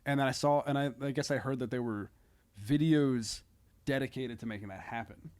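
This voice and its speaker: Halting, casual man chatting on a podcast. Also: mid-range voice, a little mellow. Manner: halting, filler-heavy, self-interrupting. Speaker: podcast guest, comedian. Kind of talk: casual comedic podcast chat.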